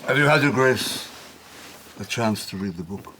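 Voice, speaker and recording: An actor playing an impassioned defender of a banned book, arguing with pointed questions in a period drama. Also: male voice, fairly low pitch, expressive, moderate pace, bright, forceful tone, slight background noise, poor audio. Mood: probing.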